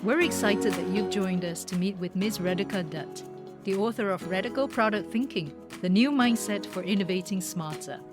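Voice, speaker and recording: A woman's voice: mid-range voice, moderate pace. Woman announcer reading over music. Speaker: podcast intro announcer. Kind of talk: scripted narration. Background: music bed.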